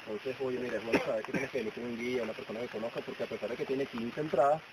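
Young male, Venezuelan accent, informal outdoor guide talk, rapid speech, mid pitch, noisy low-grade camera sound. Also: steady tone. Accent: Venezuelan Spanish. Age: young adult. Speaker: cave guide. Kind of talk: informal safety briefing with anecdotes. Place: outdoors in a forest, by a signboard. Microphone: camera a few steps away.

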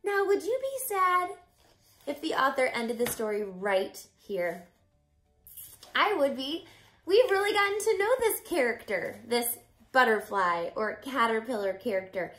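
A woman in her thirties doing an animated read-aloud for children, expressively putting questions to the listener. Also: high voice, measured pace, roomy sound. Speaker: teacher reading picture books aloud. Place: bright room at home by windows.

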